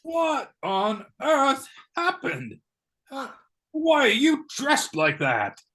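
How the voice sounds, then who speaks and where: A man playing a blustery, oblivious knighted gentleman in a comic stage play, sounding puzzled. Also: very high voice, huge pitch swings, very slow, heavily acted. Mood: bewildered. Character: bewildered, blustery English-style knighted gentleman, oblivious husband.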